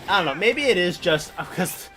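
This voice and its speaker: A man's voice: high-pitched, lively intonation, normal speed. A young man streaming a video game, rambling casually with lots of fillers and "like". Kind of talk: casual live game commentary. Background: game music.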